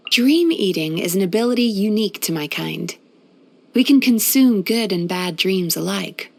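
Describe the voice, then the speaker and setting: A woman playing a gentle, composed yokai therapist in a video game, soft-spoken and measured. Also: mid-range voice. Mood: calm, reflective. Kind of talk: video game character voice lines.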